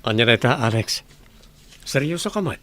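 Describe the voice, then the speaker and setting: A man's voice: mid-range voice, expressive, brisk, fairly bright tone, lightly acted. A man playing a smitten young village suitor in radio drama, with an Ilocano accent. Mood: earnest.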